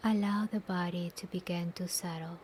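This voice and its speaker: A woman leading a guided meditation in a soft, breathy, near-whispered and soothing voice, with long pauses between short instructions. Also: lowish voice, fairly even intonation, slight background noise. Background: soft nature ambience.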